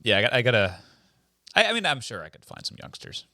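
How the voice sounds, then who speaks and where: A man's voice: medium pitch, huge pitch swings, fast talker, bright, forceful tone, no room sound, clean studio sound. A young man co-hosting a podcast, casual chat with wry teasing banter. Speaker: podcast co-host. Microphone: podcast microphone.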